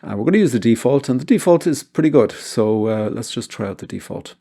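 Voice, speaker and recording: A man narrating an informal software tutorial as its host, explaining things step by step. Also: mid-range voice, lively intonation, brisk, creaky.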